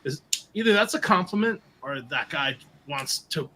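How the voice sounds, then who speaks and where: A man, co-hosting a podcast and telling loud, self-mocking stories about himself in casual banter. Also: on the high side, lively intonation, moderate pace, very noisy. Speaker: podcast co-host.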